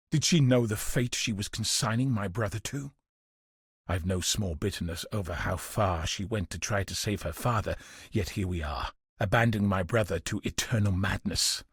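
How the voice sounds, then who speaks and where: An actor playing a weary, bitter but steadfast guard commander in a video game, speaking gravely and earnestly with a husky, raspy voice. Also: male voice, on the low side, dry recording, clean studio sound, heavily acted. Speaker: game character voice. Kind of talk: dramatic video game voice acting.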